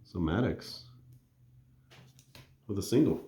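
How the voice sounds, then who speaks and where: A man calmly narrating a tabletop baseball replay play by play, thinking aloud as he rolls the dice. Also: deep voice, takes it slowly, reverberant. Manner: relaxed and conversational, thinking aloud while rolling.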